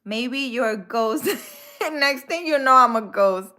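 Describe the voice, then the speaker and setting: A woman hosts a relaxed live stream, talking to her viewers as if they were friends. Her voice sits a bit high, and she takes her time. She laughs easily and drops Spanish exclamations into her English. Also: slow pace. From Dominican Republic. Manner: chatty, laughs often, drops in Spanish words. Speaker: live-stream host, spiritual healer.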